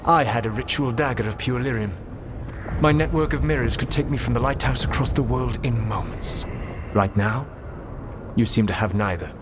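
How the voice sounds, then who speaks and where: Guy doing an old elf god, slow and precise, cool and snide, game audio with a noisy hum behind. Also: mid-range voice, lightly acted. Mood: dry, mocking. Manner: measured, precise, dryly sarcastic. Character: ancient male elven rebel god, calm and condescending. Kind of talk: video game dialogue. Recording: game audio with steady background noise.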